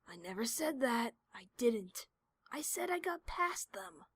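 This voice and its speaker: A woman's voice: sits high, sing-song, a little slow, vocal fry, fairly bright tone, slightly rough, no room sound, heavily acted. A woman voicing a brash, stubborn female pegasus adventurer in an audio drama, with a cocky, defensive delivery. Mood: uneasy. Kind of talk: cartoon-like audio drama character voice.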